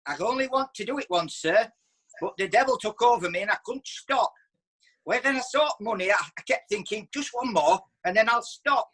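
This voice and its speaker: An actor performs a theatrical courtroom swindler with a husky edge and a piercing, bright tone. The delivery is cheeky and wheedling, full of stage flourish. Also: mid-range voice, lively intonation, moderate pace, low-quality recording. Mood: sheepish pleading. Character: defiant, cheeky swindler Horace Binks, down on his luck. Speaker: defendant in court. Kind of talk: courtroom stage play acting.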